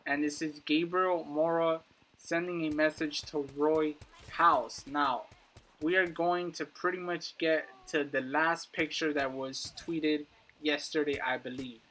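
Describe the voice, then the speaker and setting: A man's voice: a little high, fairly even intonation, a little slow, sharp tone, a little hiss or hum, poor audio. A man giving casual, emphatic commentary on video game lore, addressing his viewers directly. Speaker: YouTube gaming content creator.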